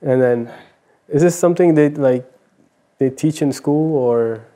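A man's voice: on the high side, measured pace, mellow. A man hosting a casual studio interview, asking questions in conversational language. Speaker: interviewer, host. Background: plucked guitar music.

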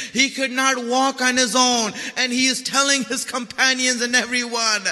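A man's voice: extremely high pitch, sharp tone. A man delivering an emotional mourning sermon as dramatic storytelling, plaintive and impassioned, repeating phrases for emphasis. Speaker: religious lecturer, preacher. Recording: long reverberant hall echo.

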